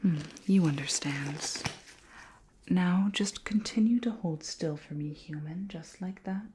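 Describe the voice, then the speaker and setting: A woman voicing a curious, flirty alien queen in a whispered ASMR roleplay, breathy and close to the microphone, with formal, musing speech. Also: fairly low pitch, noisy background. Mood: fascinated, musing. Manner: slow, deliberate, formal wording with musing hums. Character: flirty alien queen, curious, doting, condescendingly fascinated by humans.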